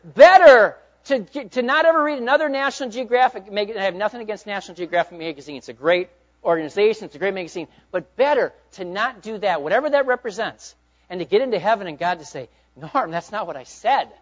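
High-voiced preaching man, lo-fi. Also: animated, quick pace. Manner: emphatic preaching, swinging between loud urging and hushed asides. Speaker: pastor. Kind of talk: sermon. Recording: dull lo-fi sound.

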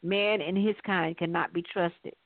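A resolute young warrior queen from a story, voiced by the reader, speaks solemnly and with regret. Her lines have a medium pitch and a thin telephone sound. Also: fairly even intonation, measured pace, dry recording. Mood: solemn resolve. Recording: thin, dry phone-line sound.

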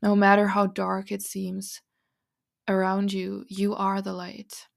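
A woman hosting a spiritual podcast, talking in an earnest, encouraging, rambling way with a raspy voice. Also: medium pitch, completely flat delivery, slow. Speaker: podcast host and psychic reader. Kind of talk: casual spiritual podcast monologue.

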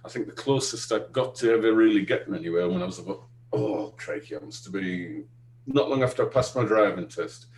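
Northern english bloke in his 40s, casual podcast chat, lowish voice, echoey room. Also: lively intonation, brisk, darkish tone. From Northeast England, UK. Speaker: podcast guest. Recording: roomy sound with faint hum.

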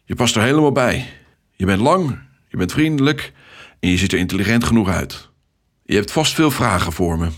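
A man acts out a cheerful traffic controller from a children's audio story. He is energetic and chatty, with a hearty, encouraging way of talking. The voice is husky and lifted a little above a deep register. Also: normal speed.

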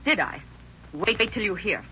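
A woman's voice: medium pitch, lively intonation, normal speed, bright, forceful tone. A woman playing a fretful, self-righteous middle-aged widowed mother in a 1930s radio drama. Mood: triumphant. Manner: prim, wordy, self-righteous. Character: fretful, indignant widowed mother of teenage daughters. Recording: scratchy muffled old-time radio recording.

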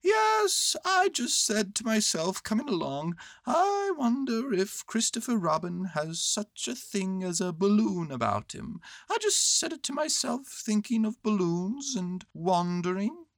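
Woman acting male toy bear, storybook character, high-pitched, earnest schemer, excitable, pompous, dry close sound. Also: unhurried, vocal fry, hardly any pauses, dry recording. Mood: earnest pondering. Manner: excitable, ponderous, self-important pronouncements.

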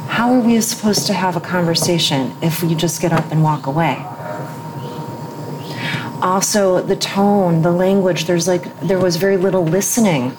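A woman with a low, grounded voice interviews in a reflective way. She muses aloud, piles up rhetorical questions and trails into fillers. There is a steady noise behind her. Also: normal speed. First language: English. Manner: thoughtful, musing, many fillers and rhetorical questions. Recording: noticeable background noise.

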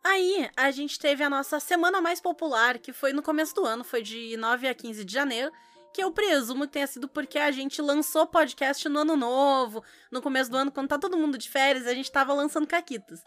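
A woman's voice: sits high, a little fast, a bit bright, faint music behind. A woman co-hosting a podcast in casual chat, playful and swearing in mock outrage. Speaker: podcast co-host.

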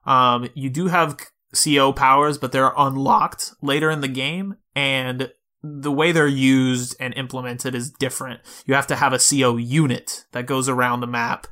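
Male podcast co-host, crisp-edged bright tone, mid pitch, conversational and considered game discussion. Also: steady tone, normal speed. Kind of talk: casual video game discussion.

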